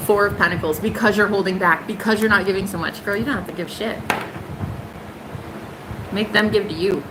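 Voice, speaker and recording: Young woman, sassy livestream tarot reader, mid-pitched voice, steady room noise behind. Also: brisk. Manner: sassy and emphatic, swings high for punchlines. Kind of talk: casual livestream tarot reading.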